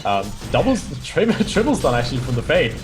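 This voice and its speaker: Fast-talking male esports analyst, not a native speaker. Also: a little high, wildly swooping pitch, over loud music. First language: not English. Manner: fast, restarts phrases, explains strategy. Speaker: esports color commentator. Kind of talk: analytical game commentary.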